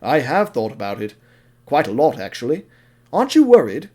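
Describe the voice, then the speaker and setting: Man playing a shy, worried steam engine, mid-pitched. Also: huge pitch swings, normal speed, darkish tone, husky. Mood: worried, cautioning. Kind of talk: audio drama character acting.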